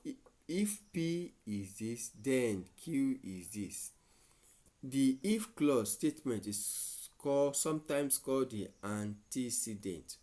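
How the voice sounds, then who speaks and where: A man teaching a lesson to students, deliberate and repeating statements for emphasis. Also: mid-range voice, warm tone. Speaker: mathematics teacher.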